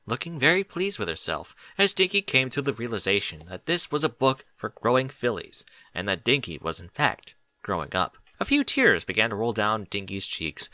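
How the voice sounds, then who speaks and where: A man narrates a storybook tale in a bright, forward voice. His pitch moves up and down in a lively, expressive way, and the sound is close and dry. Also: no echo. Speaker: audiobook narrator reading fan fiction.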